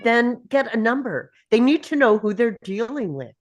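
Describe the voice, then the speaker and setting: Woman playing a brisk, commanding CIA supervisor. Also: a little high, animated, lightly acted. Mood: urgent, businesslike. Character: commanding middle-aged female CIA supervisor, urgent and brisk. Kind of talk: table read of a film script.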